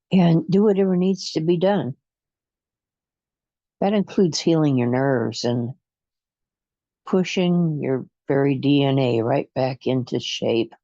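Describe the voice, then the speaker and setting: Slow, mellow hypnotic guide. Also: medium pitch, no room sound. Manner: soothing, hypnotic, with long pauses and counting. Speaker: guided imagery facilitator. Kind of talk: guided relaxation and hypnosis script. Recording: dull lo-fi recording.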